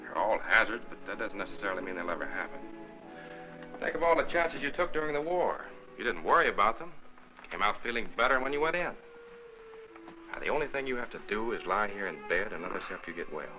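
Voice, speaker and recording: A calm family doctor from a 1950s Hollywood melodrama. He talks quickly in a low, measured, reassuring voice, with music behind him on an old film soundtrack. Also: exaggerated voice, rough recording. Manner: brisk, measured, professional.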